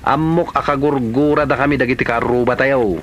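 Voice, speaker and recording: A man's voice: medium pitch, fairly even intonation, fairly quick, fairly bright tone, natural voice. A man acting a stubborn, grumbling married man in an Ilocano comedy drama. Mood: defensive, complaining.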